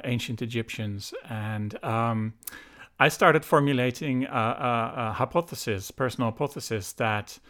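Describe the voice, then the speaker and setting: A man's voice: mid-range voice, a bit bright. A middle-aged Dutch man speaking English as a guest in a casual chat, hesitant and full of ums and uhs. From Netherlands.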